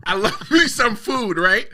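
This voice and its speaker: A middle-aged man hosting a wrestling podcast, telling rambling stories in a casual chat and laughing loudly. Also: sits high, background noise. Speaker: podcast host.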